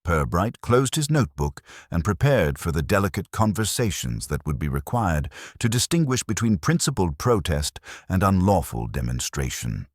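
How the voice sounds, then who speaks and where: A man narrating a scripted mystery story in a measured, formal storytelling manner. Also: sits low, sing-song, no echo, studio quality.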